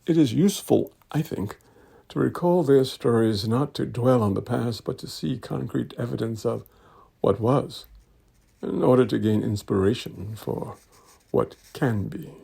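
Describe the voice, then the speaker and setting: Gravelly, mellow man reading a speech. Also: medium pitch. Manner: measured, deliberate, oratorical phrasing. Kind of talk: dramatic reading of a commemorative speech.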